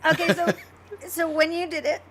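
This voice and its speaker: A woman co-hosting a casual podcast interview, excitable and gushing, often repeating herself. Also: very high voice, normal speed, a little hiss or hum. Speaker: podcast co-host.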